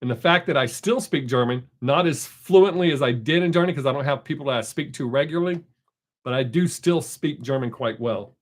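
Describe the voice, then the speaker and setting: A man's voice: medium pitch, brisk. Chatty middle-aged man. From American South. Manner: chatty, rambling, reads chat aloud. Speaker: live stream host. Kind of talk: casual chat with viewers. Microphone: desk microphone.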